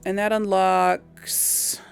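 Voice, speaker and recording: A woman's voice: mid-range voice, flat delivery, drawn-out words, vocal fry, faint music behind. A woman giving casual video game commentary, thinking aloud in a hesitant, musing way. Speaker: video game let's player.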